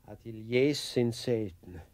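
A male actor as a kindly painter speaks slowly and takes his time, in a radio-play manner. His voice sits at a middle pitch, recorded close and dry. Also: a little mellow, dry recording, close to an everyday voice. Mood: kindly, grumbling.

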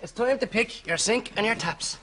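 High-voiced builder. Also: male voice, moderate pace, bright, forceful tone, slight background noise. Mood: matter-of-fact, dismissive. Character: fussy, contradicting builder in a sitcom. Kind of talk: sitcom comic acting.

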